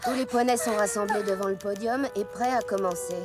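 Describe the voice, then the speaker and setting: Cartoon backstage pony , played by a woman: medium pitch, businesslike and directive, music clearly behind. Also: a little fast, close to an everyday voice. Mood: brisk, instructing. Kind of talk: French animated cartoon dub acting.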